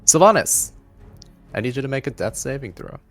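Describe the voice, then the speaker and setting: A man's voice: medium pitch, animated, fairly quick. A man working as game master, narrating a tabletop game in a dramatic storytelling style. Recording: faint music behind the voice. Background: faint music.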